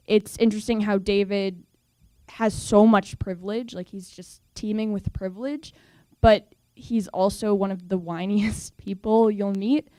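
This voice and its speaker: A teenage girl on a student panel making an analytical, opinionated point with a wry edge. Her voice is mellow and dark-toned, recorded dry and close. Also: medium pitch, dry recording. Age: teens. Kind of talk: panel discussion answer.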